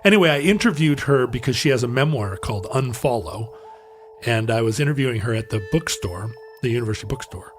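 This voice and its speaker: A man's voice: mid-range voice, animated, brisk, soft background music. A man co-hosting a podcast, telling a casual anecdote in a rambling, self-correcting way. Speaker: podcast co-host. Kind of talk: casual chat, storytelling.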